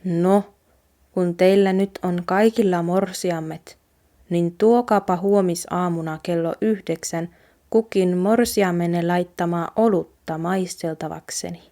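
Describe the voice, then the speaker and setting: Woman reading commanding father, mellow voice. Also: on the low side, steady tone, lightly acted. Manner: formal, commanding. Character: commanding older father of three grown sons.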